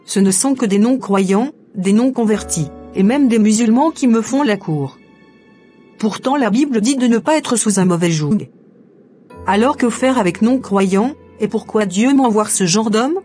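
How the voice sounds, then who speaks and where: Earnest young woman in an animated drama, acted by a woman. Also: a little high, unhurried, soft background music. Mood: troubled, questioning. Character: devout young single woman, earnest and trusting.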